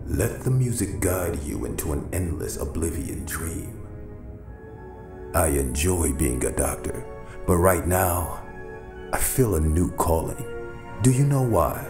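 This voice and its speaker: Very deep, sinister older surgeon. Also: male voice, sing-song, full character voice. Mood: ominous, resolute. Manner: slow, deliberate, formal. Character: sinister older back-alley surgeon, courtly and menacing. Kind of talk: cyberpunk radio drama acting. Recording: roomy echo with music behind.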